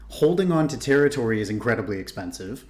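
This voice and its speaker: A man's voice: mid-range voice, animated, fast talker, darkish tone. A man from Ontario hosting a reaction video, chatting casually and thinking aloud with frequent restarts. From Canada (Ontario). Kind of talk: casual commentary.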